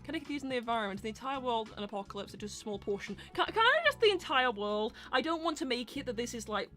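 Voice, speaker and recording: A woman with a fairly high voice hosts a casual livestream Q&A. She is chatty and candid, explaining things in a rambling way. Music plays faintly behind her. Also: expressive, quick pace, a bit bright. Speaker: host and storyteller of a live roleplay stream.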